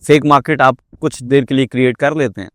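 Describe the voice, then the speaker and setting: A man's voice: medium pitch, steady tone, a bit bright, no echo, clean studio sound. A man with a Pakistani accent giving a persuasive market update as a real estate marketer, explaining emphatically and dropping in English phrases.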